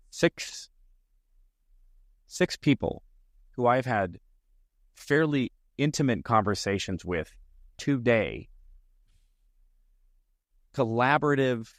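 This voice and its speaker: A man's voice: mid-range voice, no echo, clean studio sound. A man hosting his own comedy podcast, talking alone in an earnest, reflective way and stringing his thoughts into long lists. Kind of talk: solo podcast monologue. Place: lives in Arizona.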